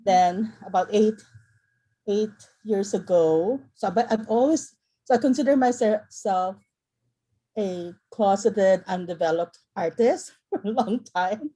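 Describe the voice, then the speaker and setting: A woman's voice: mid-range voice, takes it slowly, mellow, poor audio. A middle-aged woman from the Philippines, chatting casually on a video call, rambling with fillers and restarts. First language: Filipino. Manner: rambling, many fillers and restarts, laughs often. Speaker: workshop participant.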